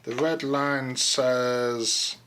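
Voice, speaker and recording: Man, unhurried pace, bright voice, casual DIY narration, halting, thinking aloud, handheld camera audio. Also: medium pitch, steady tone, clear, resonant tone. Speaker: DIY video host. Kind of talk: casual hands-on project narration. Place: room indoors. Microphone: handheld camera mic.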